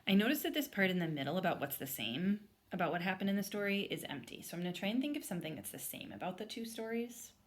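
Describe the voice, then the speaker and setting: A woman's voice: mid-range voice, expressive, fast talker, hardly any pauses. A woman speaking as an elementary teacher, reading aloud and explaining to children step by step. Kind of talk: storybook read-aloud and lesson for children.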